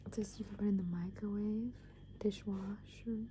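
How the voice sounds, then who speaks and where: A young adult woman rambles in a breathy ASMR whisper, hesitating and leaning on filler words as she goes. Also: medium pitch, fairly even intonation, moderate pace. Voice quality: breathy, mostly unvoiced whisper. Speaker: ASMR video creator. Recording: clear background noise.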